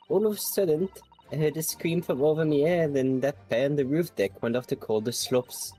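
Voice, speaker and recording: Victorian street urchin girl witness played by a fan dubber, mellow, hesitant and slangy, music underneath. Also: mid-range voice, lightly acted, rough recording. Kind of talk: video game fan dub.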